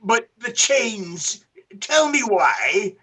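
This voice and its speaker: A woman playing a frightened, skeptical old male miser in a theatrical radio play, with a husky voice and halting, agitated delivery. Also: mid-range voice, sing-song, heavy background noise. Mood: subdued, pleading.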